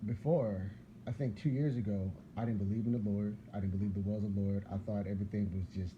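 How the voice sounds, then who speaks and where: Very deep-voiced man preaching, lo-fi. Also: fairly even intonation, a little fast. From Philadelphia. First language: Arabic. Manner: rambling, repeats words, restarts sentences. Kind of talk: casual preaching monologue. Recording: dull lo-fi sound with clear background noise.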